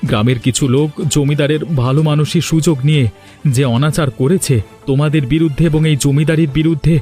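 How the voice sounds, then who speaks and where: Man acting emphatic higher-voiced cartoon villager, music behind. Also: steady tone, fast talker, mellow, natural voice. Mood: urgent, earnest. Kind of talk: dramatic Bengali cartoon voice acting. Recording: music under the voice.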